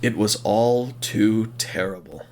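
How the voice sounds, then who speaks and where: A man's voice: on the low side, slow pace, darkish tone, slight background noise. A man narrating an audio drama as a scripted story, with theatrical, suspenseful phrasing.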